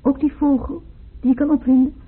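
An actress playing an anxious, fragile former patient in a radio play, plaintive and hesitant. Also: female voice, sits high, steady tone, fairly quick, lightly acted. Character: anxious, fragile woman just out of hospital, pleading. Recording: narrow phone-like radio play, faint noise.

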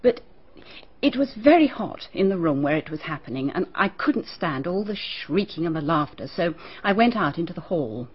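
Nervy, prim schoolteacher; husky, lively pitch, clipped and guarded; muffled old radio sound. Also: female voice, moderate pace. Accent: British English. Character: prim, nervous woman schoolteacher witness. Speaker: teacher questioned by a detective. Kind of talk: BBC radio drama acting. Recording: dull, muffled archive radio broadcast.

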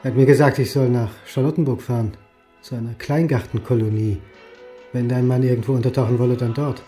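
Radio drama lead: actor as reserved male historian, mellow voice, dry, terse, reluctant investigator. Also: medium pitch, steady tone, fairly quick, soft background music, lightly acted. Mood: weary, reluctant. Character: weary, reserved historian reluctantly playing detective. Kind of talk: naturalistic radio play acting.

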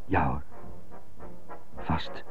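An actor playing an indignant, brooding stuttering prisoner who mutters to himself in a radio drama monologue. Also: male voice, very slow. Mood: brooding, subdued. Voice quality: stutter on some words. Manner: muttering, self-questioning monologue in short bursts. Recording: muffled narrow phone-like sound, music behind.

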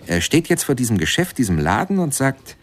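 An actor playing the narrator of a radio ballad, addressing listeners directly in short, deliberate sentences. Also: male voice, medium pitch, sing-song. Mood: probing, insistent. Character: storytelling male narrator of a jazz musician's sad life.

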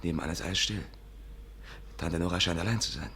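An actor playing a brisk, confident, cajoling amateur detective in a 1950s German radio drama. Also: male voice, sits low, steady tone, sharp tone, slight background noise. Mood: hushed, businesslike.

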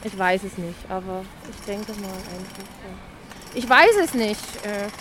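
A woman's voice: mid-range voice, a little slow. A woman from Dresden speaks spontaneously and emphatically in a street interview, often repeating herself. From Dresden, eastern Germany. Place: city street, outdoors. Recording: noisy outdoor street recording.

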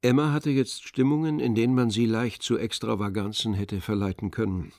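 A man's voice: lowish voice, fairly even intonation, brisk, darkish tone, dry recording. A man reading scripted literary narration for a radio drama in a studio. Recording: dry studio, music underneath.

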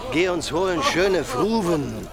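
An actor playing a coarse, lusty German soldier in a medieval radio play, crude and speaking in broken archaic phrases. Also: male voice, fairly high pitch, animated, takes it slowly, heavily acted. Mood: leering. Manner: crude, mixes broken archaic speech with Italian. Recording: outdoor scene with clear crowd noise. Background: crowd.

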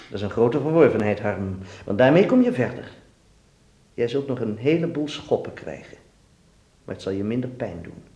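A man's voice: medium pitch, mellow, highs cut off, lightly acted. A man acting a worldly, melancholy dance teacher in a radio play, musing and fatherly with his pupil. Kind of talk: Dutch radio drama acting. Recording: echoey room sound.

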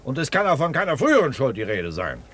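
An actor playing a formal, reasonable court counsellor with a commanding manner in a German radio drama. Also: male voice, medium pitch, huge pitch swings, fast, a bit bright. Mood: declaiming. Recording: noisy background ambience.

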